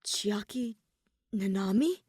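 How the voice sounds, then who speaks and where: A woman acts out a teenage boy hero for a fan-made audiobook. The delivery is earnest and questioning, anxious but determined, recorded very dry with a medium pitch. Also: animated, slow pace, darkish tone, breathy edge, no echo. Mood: stunned. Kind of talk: fan fiction audiobook character voice.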